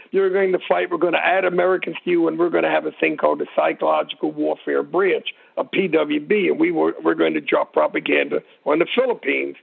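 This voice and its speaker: A man with a gravelly, husky voice explaining history informally as an interview guest, rambling and digressing as he tells it. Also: a little high. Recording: muffled narrow phone-like line.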